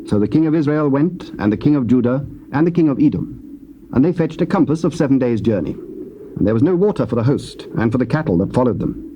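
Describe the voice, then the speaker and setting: A man narrating scripture in a measured, solemn reading style. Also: medium pitch, lively intonation, mellow, slight background noise. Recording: muffled old cassette tape.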